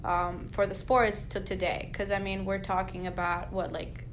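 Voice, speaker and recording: A woman's voice: medium pitch, flat delivery, normal speed, background noise. A woman from Albania hosting a casual interview, chatty and peppered with "like". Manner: chatty, uses "like" often. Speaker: podcast host.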